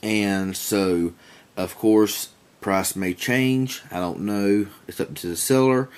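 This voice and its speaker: A man's voice: lowish voice, steady tone, takes it slowly, clean tone. A man giving a casual product demonstration in a plain-spoken, folksy, rambling and repetitive way. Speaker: reviewer demonstrating a small weather radio.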